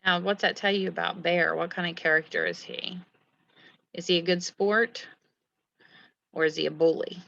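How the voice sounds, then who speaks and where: A woman's voice: lowish voice, steady tone, normal speed, gravelly, pauses often, fairly bright tone, dry recording, highs cut off. A woman leading a read-aloud lesson like a teacher, explaining the story and prompting young listeners with questions. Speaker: teacher reading a picture book aloud.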